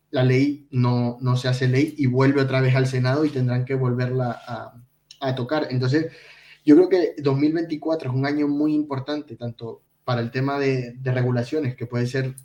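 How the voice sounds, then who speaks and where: A man talks through financial news as a podcast co-host, in a mid-range voice. He explains conversationally and a bit haltingly, repeating words. The recording is echoey and he sounds some way from the microphone. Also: medium pitch, mellow, highs cut off. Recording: roomy echo, distant from mic.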